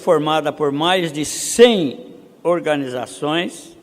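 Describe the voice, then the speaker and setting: A man from Brazil speaking at a missionary conference, reading statistics slowly and building to emphatic rhetorical questions. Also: on the high side. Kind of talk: conference preaching. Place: seminary auditorium. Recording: long hall reverb.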